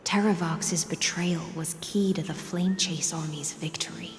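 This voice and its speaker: A woman playing a composed, grave female warrior in a fantasy video game, speaking in a measured, formal way. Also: lowish voice, steady tone, a little hiss or hum, natural voice. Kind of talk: dramatic video game acting. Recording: echoey long reverb, music behind.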